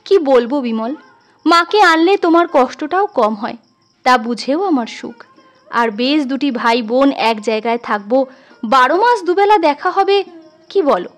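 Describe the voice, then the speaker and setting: Woman voicing a tender, high-voiced doting elder sister-in-law. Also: expressive, unhurried, faint music behind. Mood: pleading, hopeful. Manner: affectionate, emotional, coaxing.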